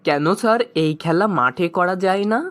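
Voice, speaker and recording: A naive cartoon pupil, voiced by a man at a fairly high male pitch. He pesters with innocent questions, earnest and simple, in a comedy skit style. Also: moderate pace, fairly bright tone. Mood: curious.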